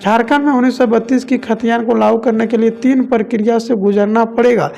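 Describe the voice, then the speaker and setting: A man's voice: very high-pitched, steady tone, a little fast, creaky, mellow. A man from India narrating news reports as a presenter, leaning on repeated stock phrases.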